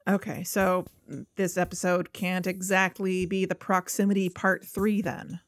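A woman's voice: fairly low pitch, flat delivery, unhurried, no echo, lightly acted. A woman playing a guarded, earnest podcast investigator in a conversational audio drama. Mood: tentative. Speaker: fictional podcast co-host.

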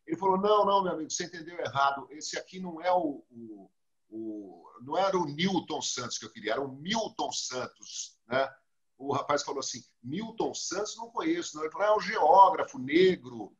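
Storytelling Brazilian man host, a bit high. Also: fairly quick, dull audio. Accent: Brazilian Portuguese. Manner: storytelling, lively quoting of others. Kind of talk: casual talk show chat. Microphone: video call webcam.

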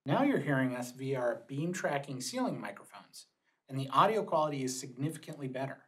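A man's voice: medium pitch, sing-song, brisk, a bit bright, rough recording. A middle-aged man explaining a product in a scripted presentation in a small meeting room. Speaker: product marketing manager presenting a demonstration.

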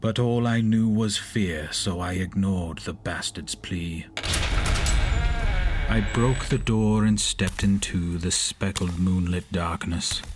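An actor playing an anguished reanimated monster, a man reciting his story gravely in measured horror verse. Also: deep voice, background noise. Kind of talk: dramatic horror monologue.

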